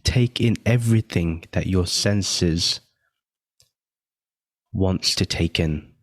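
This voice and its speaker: A man leading a guided meditation, giving gentle step-by-step instructions with long pauses between phrases. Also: low voice, no echo, clean studio sound. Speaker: meditation guide and podcast host.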